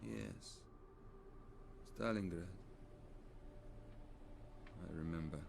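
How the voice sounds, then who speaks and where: Slow, low-voiced, bitter Soviet prisoner. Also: male voice, vocal fry, full character voice. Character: bitter, weary imprisoned former Soviet soldier. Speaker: actor voicing a game character. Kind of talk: dramatic cutscene acting. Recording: loud background noise.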